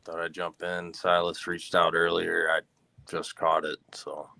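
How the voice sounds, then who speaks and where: A man calling in to a casual live stream chat, talking in a slow, laid-back monotone. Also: sits low, gravelly. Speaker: guest caller.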